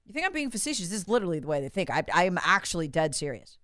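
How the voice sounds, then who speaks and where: A woman's voice: on the low side, very animated, very fast, a bit bright, no room sound, clean studio sound. A woman hosting opinionated political commentary, speaking sarcastically and emphatically, repeating phrases for effect and acting out quotes in a conversational way.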